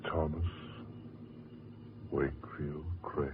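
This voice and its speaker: An actor playing a grave, ominous man, speaking deliberately and portentously in 1940s radio horror style. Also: a bass voice, level tone. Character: grave, ominous man brooding on dark forces. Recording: tinny, noisy 1940s radio recording.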